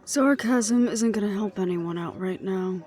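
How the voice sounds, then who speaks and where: A woman playing a wry, affectionate ex-hunter in an intimate audio roleplay, speaking softly and teasingly, with breathy asides. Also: medium pitch, measured pace, close to an everyday voice. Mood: tense, sarcastic. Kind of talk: intimate one-sided audio roleplay acting.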